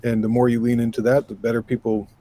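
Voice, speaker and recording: A man in his thirties from New York State with a low, raspy voice, a musician guest talking casually in an interview, animated and rambling with lots of fillers. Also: fast.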